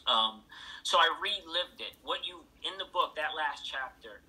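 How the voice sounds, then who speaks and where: A man's voice: fairly high pitch, lively intonation, a little slow, sharp tone, rough recording. A middle-aged man speaking casually and emphatically as an interviewed author on a video call, repeating phrases for effect. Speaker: interviewed author and thru-hiker. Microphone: video call played through a laptop speaker.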